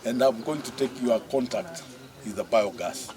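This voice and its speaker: A man speaks informal words of thanks in a medium-pitched voice. A crowd is clearly heard in the background. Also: moderate pace.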